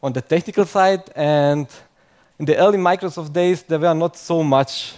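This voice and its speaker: A man's voice: a little high, normal speed, a little mellow. A young man giving a conference talk in English, which is not his first language, chatty and self-mocking, often ending points with "okay?". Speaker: conference speaker. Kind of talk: conference talk to a live audience.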